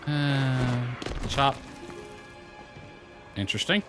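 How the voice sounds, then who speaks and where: A man narrates his own gameplay in a casual, laid-back way at a medium pitch. He mutters to himself as he goes, with soft lo-fi music playing faintly underneath. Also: extremely slow. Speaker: gaming video creator. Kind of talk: casual gameplay commentary, reading game dialogue aloud.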